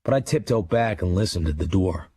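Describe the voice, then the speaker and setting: Low, gravelly American noir narrator. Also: male voice, expressive, fairly quick, a little mellow, exaggerated voice. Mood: brooding, hard-boiled. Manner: terse, hard-boiled. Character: brooding, hard-boiled American male crime writer narrating childhood. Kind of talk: first-person scripted drama narration. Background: music.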